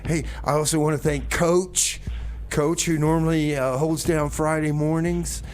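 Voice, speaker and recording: A man's voice: fairly high pitch, flat delivery, measured pace, a little mellow. A man hosting a community radio music show, loose and chatty, repeating himself as he introduces songs and banters. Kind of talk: casual music show presenting with comedy banter.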